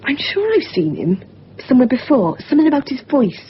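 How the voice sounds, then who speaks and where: A woman's voice: high-pitched, big pitch swings, fairly quick, a little hiss or hum. An actress playing a nervous, reluctant woman in a radio drama, with an anxious, hesitant delivery. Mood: uneasy, questioning. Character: nervous, reluctant woman drawn into a murder plot. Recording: dull, muffled recording.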